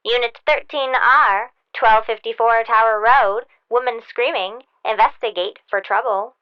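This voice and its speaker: A woman's voice: on the high side, fairly even intonation, measured pace, clear, resonant tone. Woman as a muffled police radio dispatcher. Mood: official, detached. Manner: clipped, official, impersonal. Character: brisk, impersonal female police radio dispatcher. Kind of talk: police radio calls in an audio drama. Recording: muffled, band-limited police radio sound.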